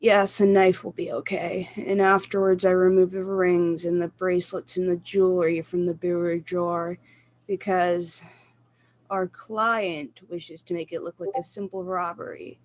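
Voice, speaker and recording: A woman's voice: fairly low pitch, level tone, measured pace, a little mellow, lightly acted. A woman playing a cold-blooded male hired killer in a suspense radio play, matter-of-fact and reassuring. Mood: cold, businesslike.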